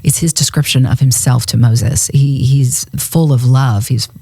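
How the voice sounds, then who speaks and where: Low-voiced, laughing woman radio host. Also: unhurried, slightly rough, no echo. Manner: lively, chatty storytelling with frequent laughter. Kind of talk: casual Bible discussion. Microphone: studio microphone.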